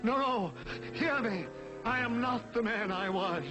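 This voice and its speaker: An actor playing a frightened, repentant old miser in a 1940s television drama, with theatrical, pleading delivery. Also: male voice, extremely high pitch, a little slow, rough recording. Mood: desperate pleading. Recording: old 1940s TV soundtrack with music.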